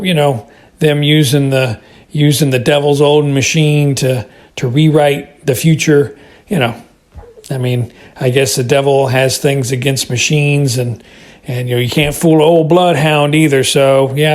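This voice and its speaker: A man's voice: mid-range voice, fairly even intonation, unhurried. A middle-aged or older American man giving a casual spoken review, hesitating and repeating words as he rambles. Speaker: listener calling in with feedback.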